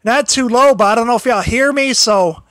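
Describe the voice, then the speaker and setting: A man hosting a casual livestream, his voice tired and strained, apologizing often, stumbling over repeated words and pushing his voice louder. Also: very high-pitched, moderate pace, dry recording, clean studio sound. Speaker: livestream host. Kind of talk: casual wrestling commentary livestream. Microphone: built-in device mic, no external microphone.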